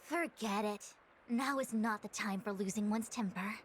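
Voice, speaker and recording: A woman voicing a composed, stern female diviner in an anime-style video game, speaking in formal, measured, wordy phrases. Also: medium pitch, slight background noise, natural voice. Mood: stern, explaining. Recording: music behind the voice.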